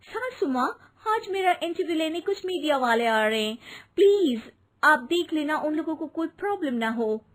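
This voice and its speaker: A vain young actress daughter-in-law from an audio storybook, played by a woman. She speaks very high and animatedly, with theatrical sweetness and entitled airs. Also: sing-song, normal speed. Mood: breezy, self-important.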